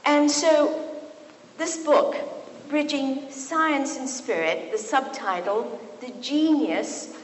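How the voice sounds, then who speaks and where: A middle-aged woman from Kenya, a physician giving a lecture to an audience in a university hall, telling stories with lively asides. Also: high voice, takes it slowly. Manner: warm and storytelling, with lively asides. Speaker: physician and speaker. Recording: echoey hall, faint noise.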